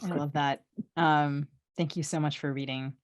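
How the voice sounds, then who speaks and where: A woman's voice: low-pitched, fairly even intonation, normal speed. A woman co-hosting a podcast, asking a guest a question in a conversational, thinking-aloud way. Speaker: podcast co-host, interviewer.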